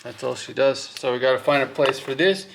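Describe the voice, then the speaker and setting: A man with a noticeably gravelly, fry-edged voice at an average pitch talks through a hands-on job. He sounds informal and unscripted, thinking aloud as he explains each step. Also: a little fast, background noise. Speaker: host of a hands-on wiring walkthrough. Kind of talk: casual workshop explanation while working.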